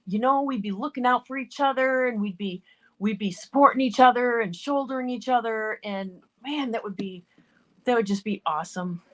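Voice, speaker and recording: A woman hosting a casual talk show, her voice a little high. She reacts quickly to her guest and cheers the listeners on to act. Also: fairly bright tone. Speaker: host. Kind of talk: casual chat.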